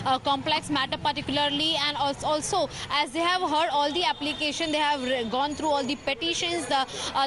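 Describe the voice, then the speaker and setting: A woman with an Indian accent giving a live field report, wordy, stumbling and correcting herself as she goes. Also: high-pitched. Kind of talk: unscripted live news report. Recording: clear street and vehicle noise.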